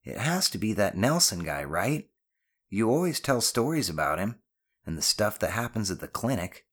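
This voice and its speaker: A man's voice: on the low side, sing-song, normal speed, a bit bright, pitched above the performer's own voice. A man voicing an awkward, skeptical schoolboy son in an audiobook, casual and mildly exasperated. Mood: eager guessing. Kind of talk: audiobook character dialogue.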